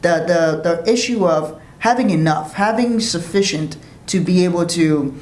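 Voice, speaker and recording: A man tells his life story as an interview guest. He speaks earnestly and restarts phrases as he thinks. His voice is slightly high, and the room has a noticeable echo. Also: normal speed, a little mellow. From Liberia. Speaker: guest, financial professional.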